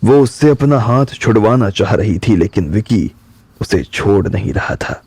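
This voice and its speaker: A man narrating a drama audiobook in steady storytelling. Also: lowish voice, a little slow, breathy edge, no echo.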